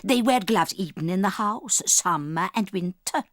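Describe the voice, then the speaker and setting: An actress playing a doting, gravely dramatic old Norwegian grandmother in an audiobook drama, telling things with theatrical emphasis. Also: mid-range voice, lively intonation, dry recording, clean studio sound, close to an everyday voice. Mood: dramatic, instructive. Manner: theatrical, emphatic, slow and deliberate storytelling.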